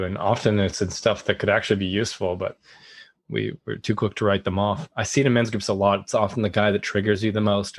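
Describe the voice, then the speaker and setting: A man's voice: on the low side, no room sound, clean studio sound. A man talking as a podcast guest, earnest and rambling, laughing easily, a little hot and sweaty. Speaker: podcast guest, men's group facilitator. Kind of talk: casual conversational interview.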